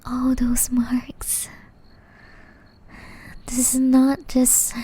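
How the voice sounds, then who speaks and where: Soft, whispering gentle witch, played by a woman. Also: a little high. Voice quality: soft, breathy, largely whispered. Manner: slow, tender, earnest pleading. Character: gentle centuries-old banished forest witch, caring and wistful. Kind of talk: ASMR roleplay.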